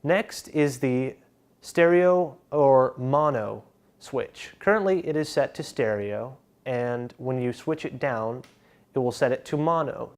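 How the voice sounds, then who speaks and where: Young guy doing a gear tutorial, mid-pitched voice, explains stuff step by step. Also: slow, darkish tone. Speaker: tutorial presenter. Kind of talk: instructional narration of an equipment tutorial.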